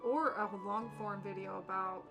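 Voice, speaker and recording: Chatty woman streamer over clear background music. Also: medium pitch, moderate pace. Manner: chatty, opinionated, slangy. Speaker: streamer, content creator. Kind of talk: casual livestream gaming chat.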